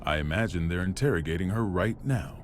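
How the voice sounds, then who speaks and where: A calm authority figure in a game cutscene played by a man. His very deep voice is wry and probing, with a little noise behind it. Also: fairly quick, natural voice. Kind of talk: video game cutscene acting.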